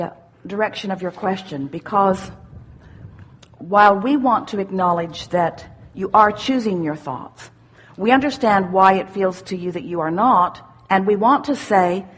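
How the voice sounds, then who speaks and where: Casting: woman, husky voice, spiritual seminar teacher, deliberate and emphatic, explains in measured phrases. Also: mid-range voice. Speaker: spiritual teacher answering audience questions. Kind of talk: live spiritual seminar talk, unscripted question and answer.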